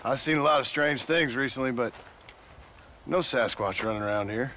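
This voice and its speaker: An actor playing a gruff, skeptical male cowboy in a video game, speaking in a dry, blunt, sarcastic way. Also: mid-range voice, normal speed, bright, forceful tone, a little hiss or hum, close to an everyday voice. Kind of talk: video game cutscene acting.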